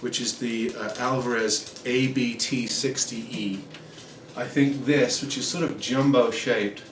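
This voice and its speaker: Male, 40s, casual home gear reviewer, mid-pitched, rambling delivery, distant camera audio with room echo. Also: a little slow, slight background noise. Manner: relaxed, rambling, many ums and uhs. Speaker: guitar reviewer. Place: small room at home, indoors. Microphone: camera mic at a distance. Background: acoustic guitar playing.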